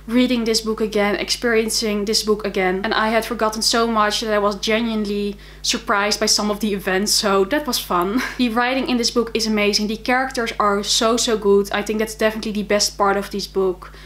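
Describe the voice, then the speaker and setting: A woman's voice: mid-range voice, fairly even intonation, a little fast, hardly any pauses, a bit bright. A young woman from the Netherlands with a Dutch accent, chatting casually and enthusiastically about books she read, with frequent fillers. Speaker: book vlogger. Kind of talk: casual monthly reading wrap-up.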